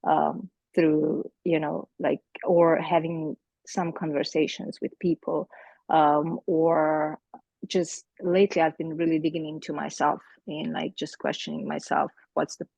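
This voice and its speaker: Gravelly Slovenian-accented woman, hesitant. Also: low voice, level tone, a little slow, dark tone, dry recording, rough recording. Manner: hesitant, searching for words, many fillers. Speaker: artist presenting her work to a group. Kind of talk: casual group meeting talk. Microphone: video call.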